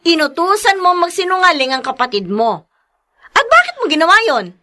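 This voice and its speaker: A woman playing a feisty, dutiful breadwinner wife in a radio drama, with an emphatic, expressive delivery. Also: very high-pitched, moderate pace, heavily acted. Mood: indignant, defiant.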